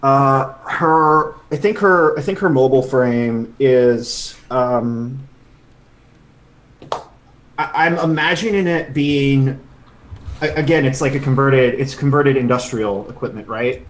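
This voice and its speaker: A man playing a tabletop role-playing game, describing his character in halting, thinking-aloud speech. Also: mid-range voice, rough recording. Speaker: player. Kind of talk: casual tabletop role-play chat.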